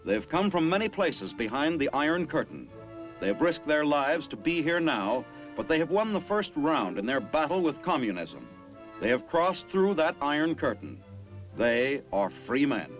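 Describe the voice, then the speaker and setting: A man's voice: fairly high pitch, very animated, normal speed, faint music behind, low-quality recording. A man giving scripted narration for an army documentary in an earnest, declamatory way. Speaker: newsreel narrator.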